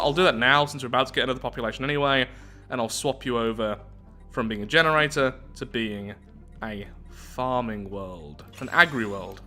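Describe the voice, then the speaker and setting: A man with a bright, forward voice at a middling pitch narrates a strategy game playthrough. He moves between read-aloud story text and casual, self-critical asides as he thinks out loud, with music playing behind him. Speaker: gaming video host. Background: background game music.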